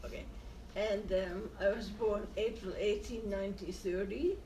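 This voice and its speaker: An elderly woman, answering questions in short, plain, halting phrases. She sits some way from the mic in an echoey room with faint ambient noise behind her. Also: mid-range voice, steady tone, warm tone, distant mic. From Netherlands. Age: 80s. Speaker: interviewee. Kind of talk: oral history interview.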